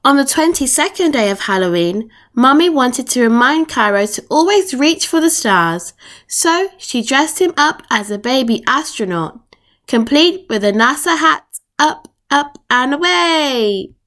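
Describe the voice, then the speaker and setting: A woman reading a children's picture book aloud in an expressive storytelling manner. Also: sits high, unhurried. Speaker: storytime reader.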